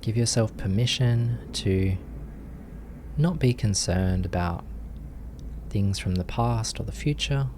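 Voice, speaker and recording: Slow, low-voiced man guiding meditation. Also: background noise. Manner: gentle, hushed, with long pauses between short phrases. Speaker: meditation teacher. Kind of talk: guided meditation.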